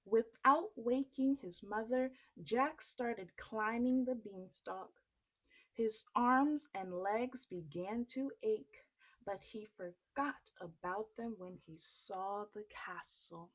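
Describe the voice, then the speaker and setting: A woman reading a children's story aloud in an expressive storytelling style. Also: fairly high pitch, slow pace, poor audio.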